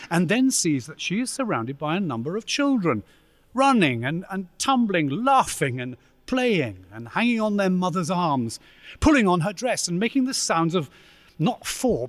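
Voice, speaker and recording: A man narrating a radio play as dramatic, deliberate storytelling with suspenseful pauses. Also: fairly high pitch, dry recording, studio quality. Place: live stage performance in a church.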